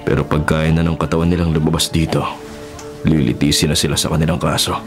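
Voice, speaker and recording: Deep-voiced, earnest Filipino forest ranger. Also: male voice, flat delivery, fast talker. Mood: relieved, solemn. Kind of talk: Filipino radio drama acting. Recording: dramatic music under voice.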